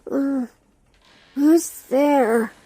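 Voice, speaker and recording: Actress as frightened young woman, gasping pleas, very slow, soft dark tone, horror drama. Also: high voice, no room sound. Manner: gasping, halting, pleading. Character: terrified young woman begging a ghost. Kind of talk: audio horror drama acting.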